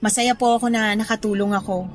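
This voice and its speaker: A woman's voice: medium pitch, steady tone, fast, close to an everyday voice. A woman playing a polite, admiring young Filipino student in a radio drama, speaking respectfully and sweetly. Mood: admiring, hopeful. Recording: music bed under the voice.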